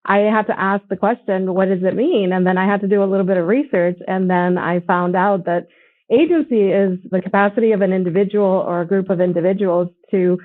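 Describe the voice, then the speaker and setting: A woman in her forties, not a native English speaker, talks with earnest, upbeat conviction. Her voice sits at an ordinary middle pitch. It comes through thin and boxy, like a phone line. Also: steady tone, a little fast. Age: 40s. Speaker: nonprofit ambassador. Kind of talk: conversational discussion.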